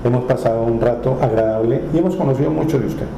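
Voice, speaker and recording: A man's voice: mid-range voice, quick pace, creaky, mellow, reverberant, slight background noise, poor audio. A man hosting a TV interview who asks polite, formal questions. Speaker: TV interview host.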